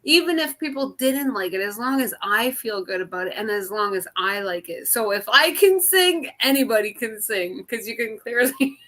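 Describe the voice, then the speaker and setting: A middle-aged woman with a very high-pitched, distinctive, kid-like voice, sharing casually and heartfully with a group. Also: lively intonation, normal speed, few pauses, fairly bright tone. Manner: laughs readily while talking. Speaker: singer in a drumming circle.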